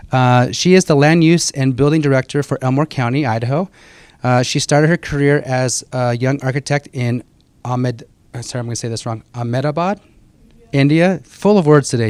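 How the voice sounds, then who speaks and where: A man hosting an event and introducing speakers informally, stumbling over words in a halting, self-effacing way. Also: mid-range voice, a little mellow, clear, resonant tone, no room sound.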